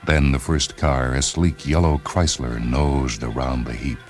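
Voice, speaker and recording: A man narrating a short story in a deliberate, dramatic literary delivery, with music behind him. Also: extremely low pitch, fairly even intonation. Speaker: narrator and reader of short stories.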